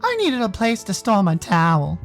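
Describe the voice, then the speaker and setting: A man voicing an aloof, terse male furry animal in a visual novel, with slow, clipped replies and a faint jeer. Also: high voice, wildly swooping pitch, soft background music, pitched above the performer's own voice, heavily acted.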